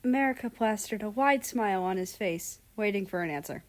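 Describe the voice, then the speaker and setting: A woman's voice: medium pitch, lively intonation, a little fast. A woman reading a fan fiction story aloud as scripted narration.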